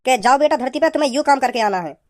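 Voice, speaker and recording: A man playing a scolding, preachy village father in a comedy cartoon, with a pitched-up voice. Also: animated, very fast. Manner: preachy, repetitive, hectoring.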